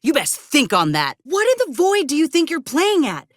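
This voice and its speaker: Gruff merc lady, ex combat medic in a game, guarded n sardonic, really lively pitch, dry studio sound. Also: moderate pace, no echo. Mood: angry, indignant. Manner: folksy, blunt, sardonic. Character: tough, guarded, weary former combat medic turned mercenary troubleshooter. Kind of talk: video game character acting.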